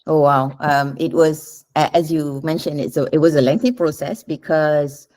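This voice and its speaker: A woman's voice: low-pitched, normal speed, a little mellow. A woman talking reflectively in an interview as a writer, explaining and checking with little tag questions. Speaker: writer interviewed about adapting a short story.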